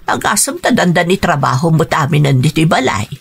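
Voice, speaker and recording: A woman's voice: low-pitched, sing-song, a little fast, vocal fry, husky, heavily acted. A woman playing a caring, concerned elderly mother and grandmother in a radio drama, sighing and offering advice. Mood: gently concerned. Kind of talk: Ilocano radio drama acting.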